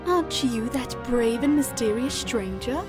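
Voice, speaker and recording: Woman as a slow-talking, admiring servant girl. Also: a little high, animated, music in the background. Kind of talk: animated comic dub acting.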